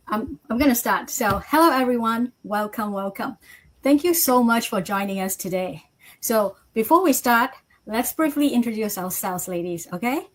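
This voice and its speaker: A woman from Malaysia hosting a live online interview, polite and measured as she introduces her guests over a video call. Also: a little high. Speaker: interview host.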